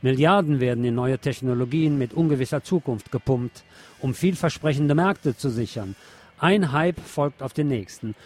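A man's voice: mid-range voice, normal speed, darkish tone. A man reads scripted political commentary as a radio presenter. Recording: music playing behind the voice. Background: music.

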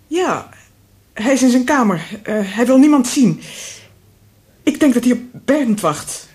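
A woman's voice: fairly high pitch, animated, a little slow, a little mellow. An actress playing a guarded young woman in a radio play. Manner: questioning, guarded. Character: wary young woman, daughter of the house.

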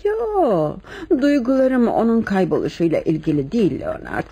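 An actress playing a lonely, flirtatious wife in a radio play, speaking in a coy, intimate way. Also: female voice, mid-range voice, huge pitch swings, normal speed, warm tone, slightly rough, exaggerated voice. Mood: flirtatious, wistful. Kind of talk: Turkish radio theatre acting.

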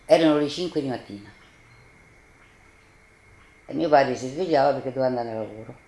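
A man giving spoken testimony of family memories, halting and repeating phrases for emphasis. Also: medium pitch, warm tone. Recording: echoey room.

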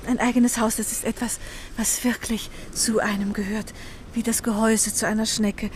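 Actress as meek young woman, high voice. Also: level tone, normal speed, a little hiss or hum, natural voice. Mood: wistful, yearning. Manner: earnest, meek, wistful. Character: timid, yearning young woman, downtrodden paid companion. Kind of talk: German radio play acting.

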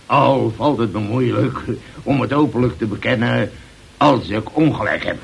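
An actor in an old radio drama playing a grumbling, blustering older boss, gruff but fair, who hesitates and interrupts. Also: male voice, mid-range voice, normal speed, a little hiss or hum. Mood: grudging, blustering. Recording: old radio play recording.

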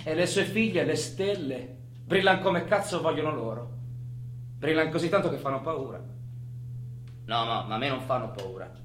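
Casting: obsessive, brooding convict, halting dramatic monologue, highly varied pitch, music underneath. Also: male voice, slight background noise. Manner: dramatic, halting, swinging between hushed and loud. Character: brooding, obsessive male prisoner counting stars in his cell. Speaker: stage actor. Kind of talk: theatrical monologue. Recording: echoey room, music under the voice.

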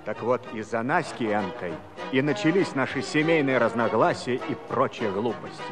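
Male actor as comical Cossack husband, folksy rambling talk, highly animated pitch, stage comedy, musical backing. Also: normal speed, over music, close to an everyday voice. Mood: comic storytelling. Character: jilted Cossack farmhand, chatty, comical, curious husband. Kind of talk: theatrical folk comedy acting and storytelling.

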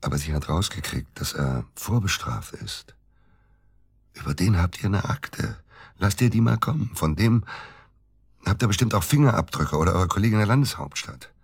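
A deep, husky middle-aged man, a widower in a radio play. He is bitter and suspicious, talking in agitated, halting bursts and hammering phrases home by repetition. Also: very animated, exaggerated voice. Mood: scornful, suspicious. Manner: agitated, halting, repeats himself for emphasis. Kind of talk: naturalistic German radio drama acting.

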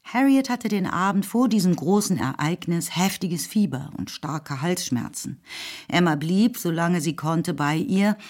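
Low-voiced woman narrating a radio play. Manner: measured, even storytelling.

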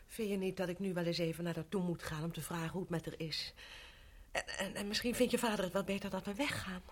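An actress playing an anxious, considerate guest in a radio drama, hesitant and apologetic, stammering politely. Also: female voice, on the low side, steady tone, fast talker, slight background noise, natural voice.

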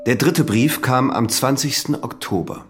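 Actor as polite, persistent young magistrate. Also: male voice, medium pitch, over music, lightly acted. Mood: pressing, measured. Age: young adult. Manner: polite, measured, probing. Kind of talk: audio drama acting, interrogation.

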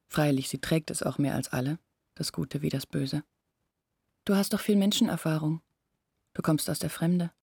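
A woman playing a gentle, devoted young villager in a radio play, explaining things quietly. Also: sits low, creaky, breathy edge, no room sound, studio quality, exaggerated voice. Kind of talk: literary radio play dialogue.

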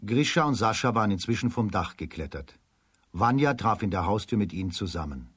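A male narrator tells a children's tale for radio. His voice is low and husky, recorded close and dry, in a scripted storytelling style. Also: expressive, a little fast, fairly bright tone, no echo.

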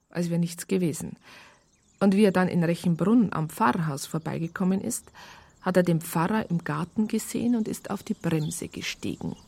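A woman's voice: on the low side, gravelly, mellow. A woman playing a wry narrator in a radio crime drama, telling the story in a conversational, colloquial way.

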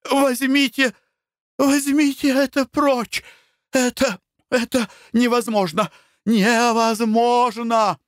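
A man voicing a hysterical, sobbing older noblewoman who wails and shrieks in a very high voice. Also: steady tone, slow pace, no echo, clean studio sound. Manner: wailing, shrieking.

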